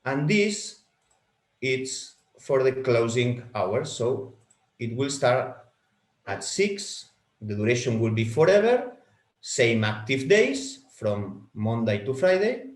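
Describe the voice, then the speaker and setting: A man whose first language is Spanish, leading a software walkthrough webinar as a product trainer, halting and repeating words as he clicks through settings. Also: medium pitch, sing-song, echoey room.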